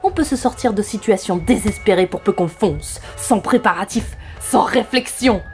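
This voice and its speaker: A woman's voice: medium pitch, normal speed, a little mellow, close to an everyday voice. A woman playing an exhausted, half-crazed holidaymaker with a sardonic, threatening delivery in a comedy audio drama. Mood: weary resolve. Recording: music bed behind the voice.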